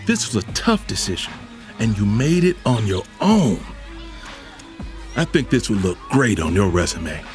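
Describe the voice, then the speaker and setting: A man's voice: lowish voice, big pitch swings, unhurried. An actor playing a self-assured, middle-aged father in an audio drama, fatherly and authoritative. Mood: proud, earnest. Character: proud, self-assured, dismissive patriarch father. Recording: music behind.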